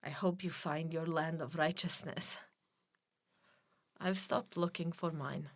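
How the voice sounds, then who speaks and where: A woman's voice: sits low, fairly even intonation, slow, no echo, heavily acted. An actress playing a blunt, wry Soviet-born female official with a Russian accent in a radio drama. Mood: quiet, earnest. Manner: blunt, dry, clipped formal phrasing.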